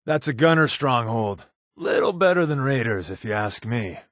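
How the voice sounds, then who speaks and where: A man's voice: medium pitch, lively intonation, moderate pace, a bit bright, no room sound, clean studio sound, natural voice. A man playing a wry, laid-back spy companion in a post-apocalyptic video game, given to sardonic asides. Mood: wry, casual.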